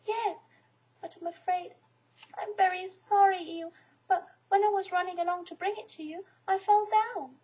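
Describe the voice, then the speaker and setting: A woman's voice: steady tone, moderate pace, warm tone. A woman voicing a small, timid piglet who stammers anxiously. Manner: timid, stammering, high-pitched. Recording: dull lo-fi recording.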